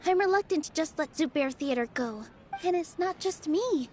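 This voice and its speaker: A woman voicing a gentle, earnest young dancer in a video game, speaking sincerely and with humble resolve. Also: high voice, expressive, normal speed, a little hiss or hum. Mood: earnest, resolute. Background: background music.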